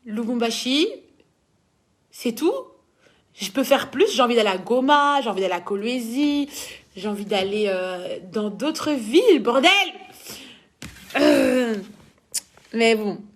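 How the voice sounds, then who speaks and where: A woman's voice: a little high, takes it slowly, long pauses. A young woman from Belgium with a Belgian French accent, chatting casually as she reads out and answers viewers' questions. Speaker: dancer answering fan questions.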